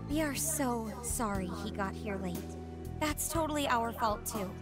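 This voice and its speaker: A woman's voice: a little high, animated, a little slow. An actress playing a kind, earnest teenage girl who keeps the peace, in an English video game dub. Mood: apologetic. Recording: game music behind the voice.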